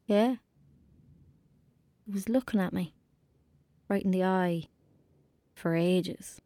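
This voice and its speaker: A woman's voice: lowish voice, fairly even intonation, slow pace, dark tone, no room sound. A dreamy, anxious young Irish girl. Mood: haunted, wistful. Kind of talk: naturalistic audio drama acting.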